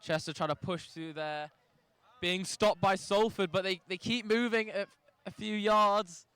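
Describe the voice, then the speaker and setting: A young man co-commentating live rugby, eager and agreeable, always chiming in. His voice is high and notably smooth and clear. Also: fairly even intonation, a bit bright, no room sound. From Formby, England. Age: young adult. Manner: enthusiastic, agreeable, quick to chime in.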